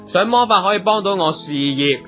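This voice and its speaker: An actor with a Hong Kong Cantonese accent playing an earnest, excitable young-at-heart lovestruck performer in a radio comedy. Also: male voice, high voice, normal speed. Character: eager lovestruck male performer with a struggling career. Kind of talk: radio comedy drama acting. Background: music.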